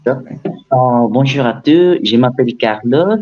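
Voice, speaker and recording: Young Nicaraguan man, halting speech. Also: medium pitch, lively intonation, lo-fi sound. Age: 20s. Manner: halting, searching for words. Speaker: guest, Spanish teacher. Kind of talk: casual chat.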